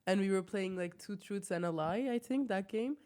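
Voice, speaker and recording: A young woman from Turkey co-hosting a podcast in casual chat, with an animated, giggly way of telling stories. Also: mid-range voice, normal speed. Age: young adult. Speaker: podcast co-host.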